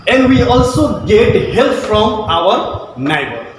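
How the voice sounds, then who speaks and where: A man in his thirties with a Nepali accent, a Maithili speaker, teaching a school lesson to camera in short, halting phrases. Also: high voice, very animated, slight background noise. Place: classroom with whiteboard, indoors. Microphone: far camera. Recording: echoey room from far off.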